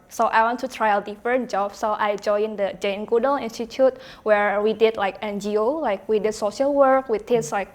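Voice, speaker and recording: A young woman whose first language is Indonesian, chatting casually on a student panel and often saying "like". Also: mid-range voice. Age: young adult. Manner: chatty, fills speech with "like".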